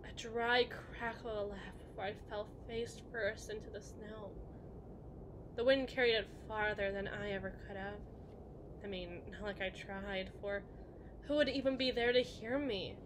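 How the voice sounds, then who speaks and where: A woman playing a brooding, wounded evil queen in an ASMR roleplay monologue, halting and deliberate with dramatic pauses. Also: medium pitch, close to an everyday voice. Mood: bleak, wistful. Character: haunted evil queen, brooding, wounded, confessing a hard past. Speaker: audio roleplay performer. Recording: clear background noise, ambience.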